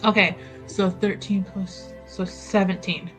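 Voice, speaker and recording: A woman playing in a tabletop game, chatty and giggly, thinking her plans out loud. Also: medium pitch, little pitch movement, a little slow, faint music behind. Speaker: player. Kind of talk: tabletop roleplay chat.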